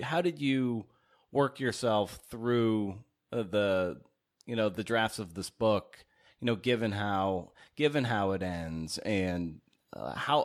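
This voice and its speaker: A man hosting an interview podcast, asking questions in a halting way and rephrasing as he goes. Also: lowish voice.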